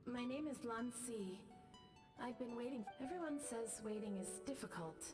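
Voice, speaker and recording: A woman voicing a serene, resigned female character in a video game, measured and wistful. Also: fairly high pitch, expressive, close to an everyday voice. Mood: patient, wistful. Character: serene, resigned female spirit, patiently waiting. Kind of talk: English video game voice acting. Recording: game music under the voice.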